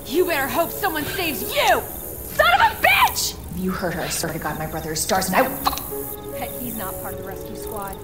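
A woman's voice: on the high side, very animated, normal speed, long pauses, over loud music. An American actress playing a tough, determined young woman in a zombie horror video game, intense and foul-mouthed. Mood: furious, shouting. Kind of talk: video game combat and survival dialogue.

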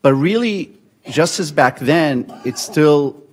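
A man in his forties from Syria, giving a measured policy talk at a conference podium, with frequent fillers and restarts. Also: on the high side, expressive. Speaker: conference panelist. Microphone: podium microphone.

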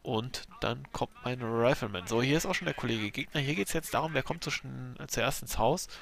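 A man with a bad cold commentating a replay of his own strategy game match, explaining his moves in a relaxed way with fillers. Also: mid-range voice, normal speed, vocal fry.

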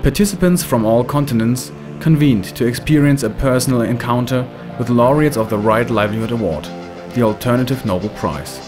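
A man narrating a documentary film in a measured, scripted way. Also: medium pitch. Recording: voice-over with loud music behind.

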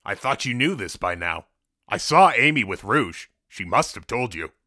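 Man voicing stubborn cartoon echidna, lowish pitch, forceful edge, blunt, defensive, audio drama. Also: very animated, normal speed, no room sound, clean studio sound, full character voice. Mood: exasperated. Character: gruff, stressed, stubborn male cartoon echidna. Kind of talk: fan audio drama acting.

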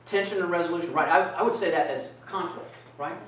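A man's voice: a little high, brisk, distant mic, a little hiss or hum. A middle-aged man giving an interactive keynote talk in a conference room, asking the audience questions. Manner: animated, asks the audience questions. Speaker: keynote speaker. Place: conference room with projector screen, indoors. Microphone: far camera, no mic. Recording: echoey room from afar.

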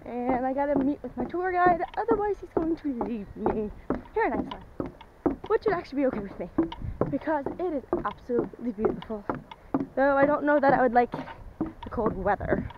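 Young woman chatting into an arm's length camera outdoors, high voice, muffled audio with wind hiss. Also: expressive, normal speed. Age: young adult. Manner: chatty, talking to a handheld camera while walking. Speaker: travel vlogger. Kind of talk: casual travel vlog. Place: outdoors on a rocky trail.

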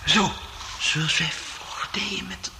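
An actor playing an excitable, anxious professor in a Dutch radio drama, halting and repeating his words. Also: high-pitched. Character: excitable, anxious male professor searching for a lost woman. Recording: noisy old radio recording.

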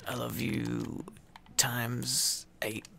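Slow, creaky, playful boyfriend ASMR man. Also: mid-range voice, pushed and bright, slight background noise. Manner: playful, teasing, affectionate. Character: needy, silly, affectionate boyfriend. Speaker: ASMR roleplay performer playing a boyfriend. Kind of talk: boyfriend ASMR roleplay, intimate rambling.

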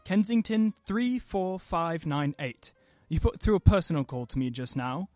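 An actor playing a troubled, irritable well-to-do husband in a radio play, clipped and indignant. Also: male voice, a little high, moderate pace, warm tone, no echo, soft background music, natural voice. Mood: shaken.

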